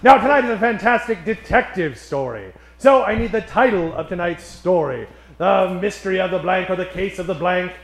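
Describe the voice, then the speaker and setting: A man's voice: sits high, animated, moderate pace. A man hosting a live comedy show from a stage, emceeing theatrically in a 1940s radio style and projecting like a showman. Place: stage in front of a live audience. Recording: echoey live stage sound.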